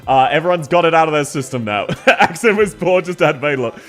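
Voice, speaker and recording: A man's voice: fairly high pitch, animated, fairly quick. An Australian man streaming live in casual chat, laughing often and thanking viewers one by one. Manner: giggly, thanks viewers warmly.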